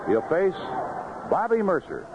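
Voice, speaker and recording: Guy doing old time baseball play by play on radio, really lively pitch swings, dull noisy sound. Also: a little slow. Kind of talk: live radio baseball commentary. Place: outdoor ballpark broadcast booth. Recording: noisy dull vintage 1970s AM radio broadcast.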